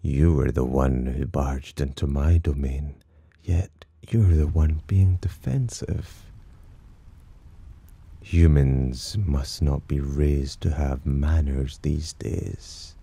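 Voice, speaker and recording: A man playing a teasing, possessive demon in an ASMR roleplay, with a breathy, husky voice and a smug, theatrical drawl. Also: very deep voice, slow pace, mellow, full character voice. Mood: amused menace. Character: teasing, possessive male demon sheltering a human. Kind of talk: ASMR roleplay, slow intimate character acting.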